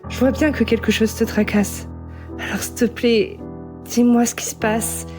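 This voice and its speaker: A woman's voice: on the high side, steady tone, measured pace, close to an everyday voice. A woman playing a caring, persistent teenage schoolgirl in an amateur webtoon dub, casual and earnest. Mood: earnest, hurt. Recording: voice under clearly audible music.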